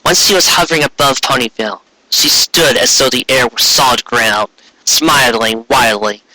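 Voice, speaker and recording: A man's voice: mid-range voice, moderate pace, sharp tone, dry recording, poor audio. A man reading a scripted fan fiction story aloud as its narrator.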